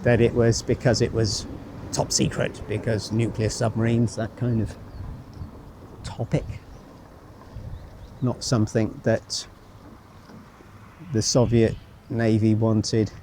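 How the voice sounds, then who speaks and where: Slow-talking man vlogging outdoors. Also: lowish voice, flat delivery, warm tone. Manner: rambling, musing, wry, self-deprecating. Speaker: travel vlogger. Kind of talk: casual walking travel commentary, thinking aloud. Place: outdoors, walking by a road and canal. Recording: outdoor street noise with passing vehicles. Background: street ambience, vehicles.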